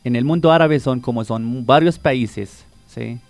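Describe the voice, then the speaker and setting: A man's voice: mid-range voice, unhurried, vocal fry, darkish tone, dry recording, soft background music. A man from Palestine whose first language is Arabic, a teacher and radio guest who explains greetings patiently and repeats each word for emphasis. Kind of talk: radio interview, teaching words.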